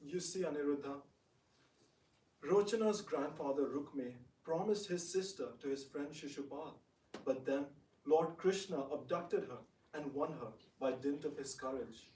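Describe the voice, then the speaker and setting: Man acting genial, high-pitched elder prince. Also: measured pace, a little mellow, slight background noise, lightly acted. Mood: explaining gently. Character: genial, trusting Indian divine prince, conciliatory elder. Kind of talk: theatrical dramatic reading. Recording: echoey room, distant microphone.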